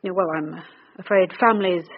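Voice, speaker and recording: Actress as a brisk, wry female detective. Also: lowish voice, lightly acted. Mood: polite, probing. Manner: brisk, dry, probing. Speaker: police detective leading a murder inquiry. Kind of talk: naturalistic radio drama acting. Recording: dull, noisy radio drama recording.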